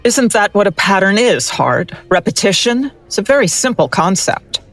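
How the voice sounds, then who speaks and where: Casting: actress as skeptical audio-drama forensic analyst, sardonic and exacting, with widely varied intonation. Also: female voice, medium pitch, normal speed, faint music behind. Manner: deadpan, sardonic.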